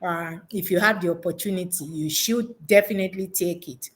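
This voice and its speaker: A woman's voice: on the low side, a little fast. A woman giving unscripted, conversational interview answers as an education consultant, reflective and rephrasing her points for emphasis.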